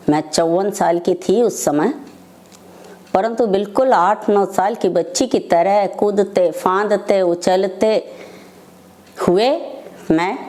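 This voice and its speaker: An older woman, telling personal devotional stories as a devotee, in short phrases with pauses between them. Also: medium pitch, warm tone. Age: older, over 60. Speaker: devotee sharing personal testimony.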